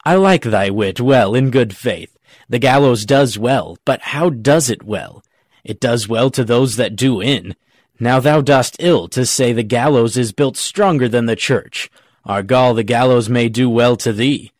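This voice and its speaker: A man's voice: medium pitch, unhurried, no echo, studio quality, close to an everyday voice. A man playing a cocky rustic gravedigger in a Shakespeare audiobook, arguing in a pedantic, jokey way. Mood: teasing, cocky. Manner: pedantic, jokey mock-logical argument. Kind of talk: dramatic audiobook reading of Shakespeare.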